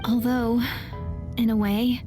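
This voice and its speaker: An actress playing an earnest, hopeful young woman in a video game, speaking gently and deliberately. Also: on the high side, level tone, over music. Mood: tender, resolute. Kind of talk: video game character acting.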